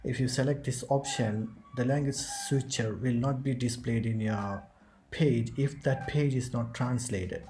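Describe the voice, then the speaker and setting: A man hosting a screen-recorded software tutorial, explaining each step as he clicks through it. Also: medium pitch. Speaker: tutorial host.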